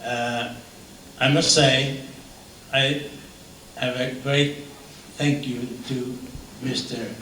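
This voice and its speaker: An older retired pilot giving a halting reunion speech with many pauses. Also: male voice, medium pitch, pushed and bright, slight background noise. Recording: echoey hall from far off.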